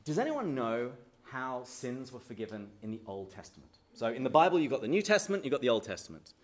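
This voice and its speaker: An English man speaks to a live audience in a hall, his voice sitting at an everyday middle pitch. He keeps things chatty and jokey, firing questions at the crowd, and turns slower and more solemn when he leads a prayer. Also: sing-song. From England. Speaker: speaker at a parish talk. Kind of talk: humorous church talk to a live audience.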